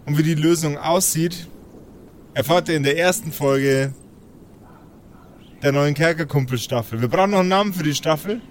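A man works as game master and host of a casual tabletop show. His voice sits a little high for a man, and there is faint noise behind it. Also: normal speed, long pauses.

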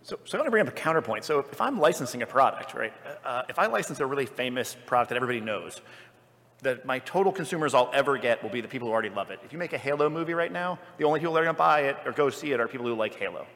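A man on a live convention panel who talks at a very rapid clip as he reasons through a counterpoint. His voice echoes through a large hall. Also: medium pitch, animated, fairly bright tone.

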